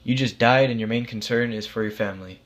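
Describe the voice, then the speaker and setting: A man's voice: medium pitch, fairly even intonation, quick pace, fairly bright tone, natural voice. A man voicing God in a story as a casual, reassuring authority figure who explains things patiently and matter-of-factly. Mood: calm, reassuring. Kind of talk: acted storybook dialogue.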